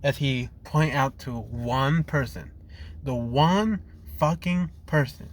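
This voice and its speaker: A man narrating a fan story off the cuff while losing his voice, stopping and correcting himself often. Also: mid-range voice. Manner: halting, frequent restarts and self-corrections.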